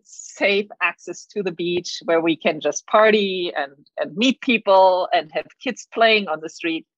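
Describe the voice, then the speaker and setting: A woman's voice: mid-range voice, no room sound, highs cut off. A middle-aged woman from Germany with a German accent, answering interview questions earnestly and often repeating words as she thinks. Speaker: climate scientist guest.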